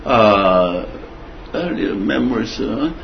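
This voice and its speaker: An older man telling his memories in an interview, hesitant and pausing, with many fillers and repeated words. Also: on the low side, animated, vocal fry, a little hiss or hum. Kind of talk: oral history interview. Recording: dull lo-fi recording.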